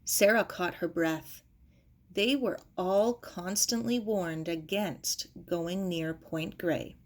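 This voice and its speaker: A woman's voice: lowish voice, slight background noise. A woman reading a children's novel aloud as scripted narration. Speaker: audiobook reader.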